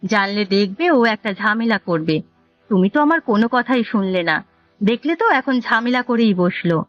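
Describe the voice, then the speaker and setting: A woman's voice: mid-range voice, expressive, brisk, fairly bright tone, close to an everyday voice. A woman voicing a conniving, nagging village wife in a cartoon, with an insistent, goading delivery. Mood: scolding. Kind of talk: Bengali cartoon story dubbing. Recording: dull lo-fi audio, music bed.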